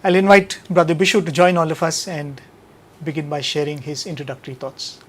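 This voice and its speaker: A man with an Indian accent hosting a devotional satsang, speaking in a measured, emphatic way with rhetorical questions and repeated phrases. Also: fairly high pitch, little pitch movement. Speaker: host of a devotional radio satsang. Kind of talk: spoken devotional talk to an audience.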